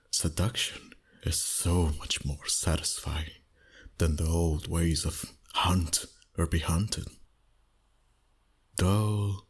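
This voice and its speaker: A man playing a seductive, dominant vampire boss in an intimate ASMR roleplay, breathy and often near a whisper, teasing slowly and deliberately. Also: rumbling low, exaggerated voice. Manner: slow, deliberate, flirtatious.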